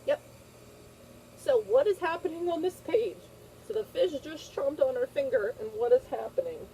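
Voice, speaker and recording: A woman's voice: sits high, moderate pace, warm tone, a little hiss or hum, poor audio. A woman teacher reading a picture book aloud to young children, repeating phrases and checking in with simple questions.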